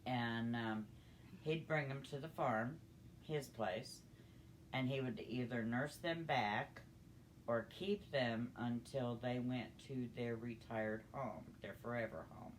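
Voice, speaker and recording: An older woman telling a personal story in a conversational, halting, unhurried way. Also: very deep voice, a little hiss or hum, low-quality recording. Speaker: storyteller grandmother.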